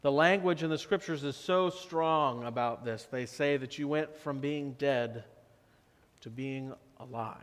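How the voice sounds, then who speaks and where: A man in his thirties preaching a sermon as pastor, slow and measured, often dropping to a softer tone for emphasis. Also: mid-range voice. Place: church sanctuary. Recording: long church reverb.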